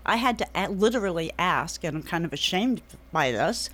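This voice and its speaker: A middle-aged woman with a New England accent answers questions as a guest in a radio studio. She talks in a measured, thoughtful way, often pausing on an um before going on. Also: fairly low pitch, expressive, fairly bright tone. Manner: measured, hesitant with many ums. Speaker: political candidate, radio guest. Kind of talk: radio interview.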